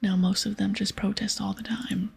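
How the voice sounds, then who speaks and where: Gruff pirate captain, played by a woman: husky half-whisper, heavy creak, theatrical old-salt talk, game dialogue over music. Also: medium pitch, level tone, normal speed. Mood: conspiratorial. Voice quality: breathy, half-whispered, gravelly. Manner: archaic pirate talk with arrs and ayes. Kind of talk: ASMR video game dialogue read-aloud. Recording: soft music behind.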